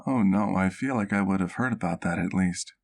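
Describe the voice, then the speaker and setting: A man playing a gentle, protective vampire doctor in an ASMR roleplay, speaking in a soft, measured, careful way. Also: deep voice. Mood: concerned, troubled.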